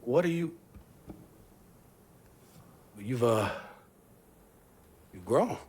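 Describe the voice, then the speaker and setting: A man's voice: medium pitch, animated, very slow, a little mellow, breathy edge, full character voice. An actor playing a troubled, guarded middle-aged father who is estranged from his family, with a Southern US accent and a terse, weary manner. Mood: guarded, dry. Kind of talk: dramatic video game acting.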